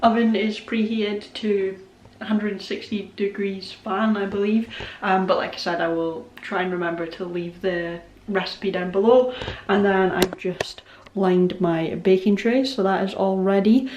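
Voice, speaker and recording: A woman's voice: mid-range voice, steady tone, a little slow, a little mellow, far from the mic, muffled recording. Scottish woman, twenties, casual vlog chatter, filler-heavy, off-mic, roomy kitchen, faint room hum. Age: 20s. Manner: chatty, rambling, self-deprecating, many "um" and "like" fillers. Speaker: vlogger. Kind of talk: casual baking vlog chat. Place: home kitchen. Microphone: camera set up away from her.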